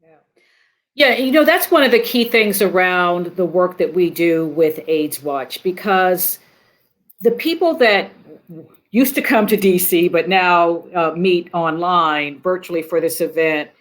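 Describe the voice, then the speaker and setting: A woman health advocate explaining her work earnestly and deliberately in a panel discussion. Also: fairly low pitch, expressive.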